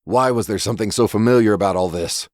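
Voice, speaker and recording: A man's voice: fairly low pitch, quick pace, darkish tone, dry recording, clean studio sound. A man reading a scripted horror story as its narrator.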